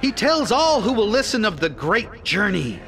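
An actor playing a fervent cult preacher in an audio drama, speaking in slow, declamatory sermon style. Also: male voice, sits high, sing-song, a bit bright, full character voice. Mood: fervent, solemn. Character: fervent male cult preacher of the blue frog. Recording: long reverb of a hall.

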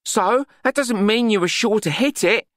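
A man's voice: sits high, normal speed, fairly bright tone, no room sound, studio quality, pitched above the performer's own voice. A man voicing a bossy, practical young woman in an audiobook, blunt, exasperated and scolding.

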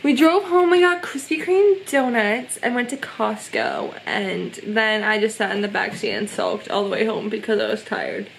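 A woman's voice: mid-range voice, moderate pace, gravelly, hardly any pauses, a little hiss or hum, low-quality recording. A young woman chatting casually to her vlog camera. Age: young adult. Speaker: vlogger. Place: car, marina outdoors, bedroom. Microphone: handheld camera at arm's length. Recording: loud music over handheld vlog audio.

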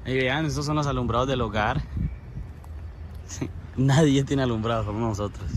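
A young man, teasing and joking in easy casual chat. His voice sits at an ordinary middle pitch. Faint ambient noise hangs behind him. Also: moderate pace. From Colombia. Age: young adult. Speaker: streamer. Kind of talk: casual live-stream chat. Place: house at night, indoors and outdoors.

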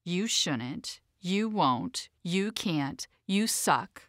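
A woman voicing a belittling, insinuating female inner critic in a hushed, taunting manner for an audiobook. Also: fairly low pitch, expressive, extremely slow, no room sound, clean studio sound, pitched below the performer's own voice, heavily acted.

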